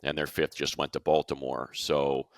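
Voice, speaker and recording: A man's voice: rumbling low, flat delivery, normal speed, vocal fry, no room sound. An American man giving casual sports analysis as a co-host, thinking aloud conversationally and checking in as he goes.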